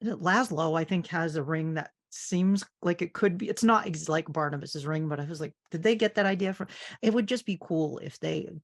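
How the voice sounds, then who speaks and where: Woman, podcast host, lowish pitch, heavy vocal fry, casual, chatty, agreeable. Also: moderate pace, hardly any pauses. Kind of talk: casual chat.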